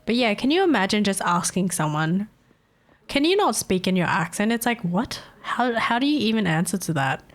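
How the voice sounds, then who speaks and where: A woman's voice: medium pitch, sing-song, normal speed. A young woman with a Filipino accent chatting casually on a live stream, teasing her chat and laughing often. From Philippines.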